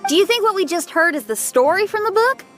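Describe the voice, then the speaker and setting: A woman's voice: extremely high pitch, expressive, fast. An actress voicing a friendly young woman companion who makes light, amused remarks. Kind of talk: game voice acting. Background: music.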